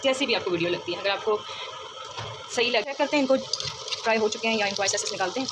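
A woman talks viewers through a recipe in a relaxed, chatty way, often leaning on little fillers. Her voice sits at an ordinary middle pitch. She was recorded on a phone in a home kitchen, with loud sizzling and running water in the background. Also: fast. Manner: chatty, step-by-step, leans on the filler "jo hai". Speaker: cooking vlogger. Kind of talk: casual cooking demonstration. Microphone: phone held over the stove. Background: loud kitchen noise, frying and running water.

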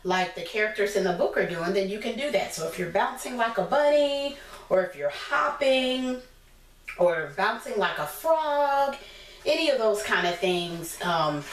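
A woman's voice: mid-range voice, expressive, moderate pace. An American woman in her thirties reading a picture book aloud to young children as a teacher, encouraging them and asking simple questions. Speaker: preschool teacher. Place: small indoor room against a plain decorated wall. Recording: roomy echo, distant mic, clear background noise.

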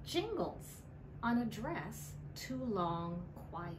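A middle-aged woman reads a children's story aloud with very lively intonation. Her pace is slow and deliberate, with emphasis on the storytelling. Clear background noise of the room runs behind her voice. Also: mid-range voice. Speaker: children's librarian reading a picture book. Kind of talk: expressive storybook read-aloud. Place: indoor library room. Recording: clearly noisy room ambience.

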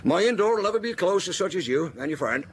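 An actor playing a genial, shrewd country innkeeper with archaic rustic speech in a radio drama. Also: male voice, high voice, expressive, fast, a little hiss or hum. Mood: kindly.

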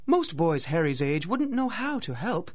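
A man's voice: a little high, sing-song, unhurried, a little mellow. A man narrating a radio drama in a theatrical, dramatic storytelling manner.

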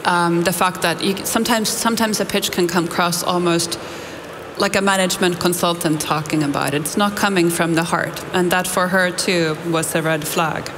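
A woman's voice: lowish voice, steady tone, normal speed. A woman in her forties from Finland, moderating an onstage conference interview with articulate, reflective questions. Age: 40s. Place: large conference hall stage. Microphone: headset mic. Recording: reverberant hall with background noise.

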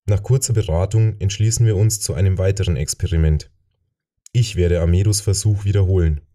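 Very dark, mellow male audiobook narrator. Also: deep voice, a little fast. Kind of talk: first-person novel narration, scripted.

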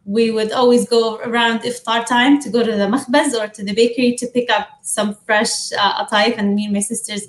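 Brief: young woman from the Middle East, chatty home baker on a webcam, somewhat high voice, roomy kitchen sound. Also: steady tone, fairly quick, hardly any pauses. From Middle East, grew up in Amman. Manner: warm, chatty, many fillers. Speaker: guest baker. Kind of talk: casual chat and cooking demo. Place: home kitchen, on video call.

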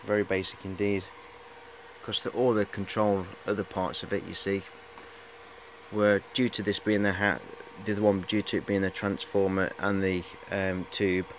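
A man's voice: low voice, level tone, normal speed, rough recording. A man in his forties chatting casually and hesitantly as he shows equipment, rambling in a matey way, recorded on a handheld camera in a workshop. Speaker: hobbyist showing high-voltage equipment. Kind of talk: casual hands-on show-and-tell chat. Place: cluttered indoor workshop. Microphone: camera at arm's length. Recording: handheld camera, clear background noise.

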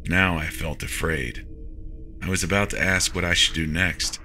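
A very low-pitched man narrates a scripted horror story in the first person. His voice is strikingly bright and forceful, with music playing behind him. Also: steady tone, moderate pace.

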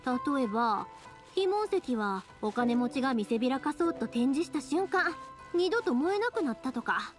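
Anime game daughter role: young, caring, Kansai accent, bright-spirited chatter, high and very varied pitch. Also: female voice, moderate pace, soft background music. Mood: wistful. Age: young adult. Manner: expressive, friendly, chatty. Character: cheerful, caring young woman fireworks maker, devoted daughter.

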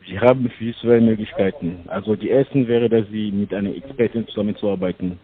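A man's voice: on the low side, steady tone, a little fast, over a noisy crowd. A man making a scam phone call, eager, with broken grammar. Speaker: scam caller. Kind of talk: phone sales pitch. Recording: tinny phone line.

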